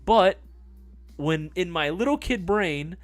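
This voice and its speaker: Male live stream host, animated and swinging in pitch, rambling loosely with casual cursing, faint music underneath. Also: slow. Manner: rambling, filler-heavy, swears casually. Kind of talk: casual live stream chat, reading viewer comments.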